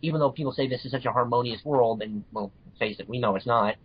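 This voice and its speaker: A man's voice: medium pitch, quick pace, husky. A man from Missouri on a podcast panel, earnestly explaining his view in casual fan discussion and often repeating himself. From Missouri, United States. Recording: dull, lo-fi voice call audio.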